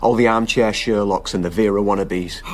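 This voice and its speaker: Male actor as troubled family man, worn down and anguished, lowish voice, music underneath. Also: steady tone, moderate pace, natural voice. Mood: bitter, weary. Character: weary, anguished husband and father of a missing woman's children. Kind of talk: naturalistic radio drama dialogue.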